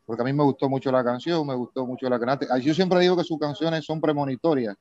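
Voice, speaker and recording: Polite Cuban singer, dry dull line. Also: male voice, mid-range voice, steady tone, a little mellow, no room sound. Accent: Cuban Spanish. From eastern Cuba. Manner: polite, reflective, unhurried. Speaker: singer, guest. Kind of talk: casual interview.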